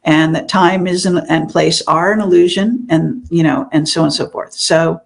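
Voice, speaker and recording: Older lady rambling on in an interview, super gravelly fry voice, lots of ums. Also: low voice, steady tone, moderate pace. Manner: rambling, meandering, many fillers. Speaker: interview guest, show host. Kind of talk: casual interview chat.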